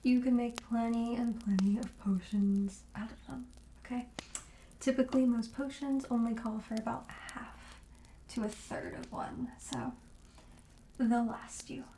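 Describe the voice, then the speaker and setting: A woman playing a friendly, darkly quirky witch shopkeeper in an ASMR roleplay, speaking softly and breathily with gentle, coaxing sales patter that often drops to a whisper. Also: a little high, fairly even intonation, unhurried, roomy sound, a little hiss or hum, poor audio. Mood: coaxing, impish.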